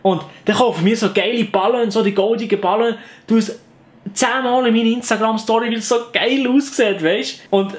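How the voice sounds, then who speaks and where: A man's voice: high voice, steady tone, normal speed. A young man from the Canton of Fribourg with a Sensler Swiss German accent, playfully explaining his dialect to the camera at home. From Canton of Fribourg, Switzerland. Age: young adult. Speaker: YouTuber.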